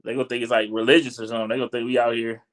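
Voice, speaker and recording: A man's voice: mid-range voice, flat delivery, racing. A man hosting a gaming podcast, teasing his co-host and joking around in casual chat. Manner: joking, teasing, laughs a lot.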